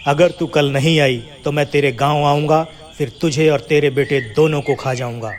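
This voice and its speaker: A man voicing a hungry, threatening male lion who boasts to his prey in a children's animated fable. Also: fairly high pitch, moderate pace, natural voice. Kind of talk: children's animated moral story dialogue.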